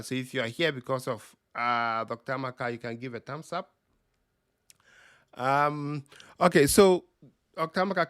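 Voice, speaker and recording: A man with a Ghanaian accent hosting a live stream Q&A over a video call, talking slowly with many ums and uhs. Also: medium pitch, clear, resonant tone, no room sound, clean studio sound. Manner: slow, relaxed with frequent ums and uhs. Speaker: host.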